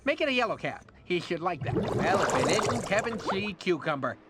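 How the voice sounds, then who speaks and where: High, swooping slick showbiz director. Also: male voice, big pitch swings, heavy background noise. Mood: brisk, pleased. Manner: theatrical, exasperated, fast-switching boss. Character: frantic, slick showbiz casting director, adult male cartoon character. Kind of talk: cartoon video game voice acting.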